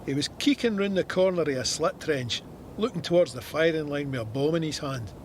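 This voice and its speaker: A man's voice: on the high side, lively intonation, a little fast, a little hiss or hum, natural voice. An actor with a Scottish accent playing a wry, plain-spoken soldier narrating his war memoir with dry understatement. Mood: matter-of-fact recollection.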